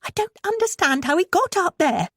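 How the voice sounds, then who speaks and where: Worried female teacher, played by a woman narrator, earnest, polite, insistent, raised pitch, audiobook character. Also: big pitch swings, normal speed, a bit bright, dry recording, clean studio sound, heavily acted. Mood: flustered, concerned.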